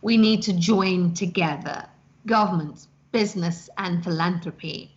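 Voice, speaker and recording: A woman's voice: fairly low pitch, unhurried. Formal woman, lo-fi sound. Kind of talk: scripted statement. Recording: dull lo-fi sound.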